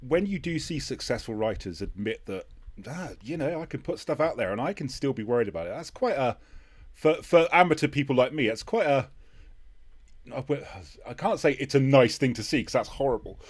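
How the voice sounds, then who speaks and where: A man with a mid-range voice, hosting a casual podcast chat. He is encouraging and a little hesitant, phrasing things in short pieces that often trail off softly. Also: medium pitch, animated, fairly quick, slightly rough. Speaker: podcast host, interviewer.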